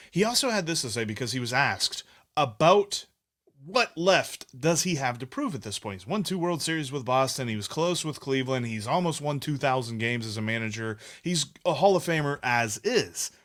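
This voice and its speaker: A man's voice: mid-range voice, a bit bright. A man hosting a sports podcast, chatting casually and giving his opinions with frequent restarts. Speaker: podcast host.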